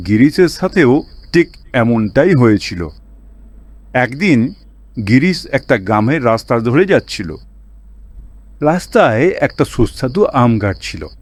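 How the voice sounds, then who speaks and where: A man's voice: mid-range voice, no echo. A man narrating a scripted children's cartoon story as a storyteller.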